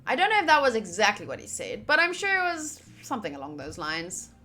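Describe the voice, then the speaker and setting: A woman's voice: mid-range voice, wildly swooping pitch, fast, sharp tone. A woman streamer reading visual novel narration aloud and adding casual commentary.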